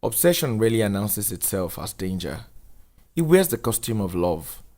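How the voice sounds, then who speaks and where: A man narrating a dramatic script, setting scenes in a deliberate, solemn way. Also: fairly low pitch, very animated, a little mellow.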